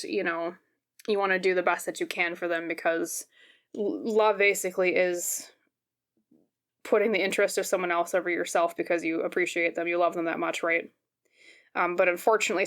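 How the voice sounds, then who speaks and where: A woman reads tarot for her viewers in a fairly low, slightly scratchy voice. She talks casually and explains things gently, often checking in with the listener as she goes. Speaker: tarot reader, host. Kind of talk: casual, conversational tarot card reading to viewers.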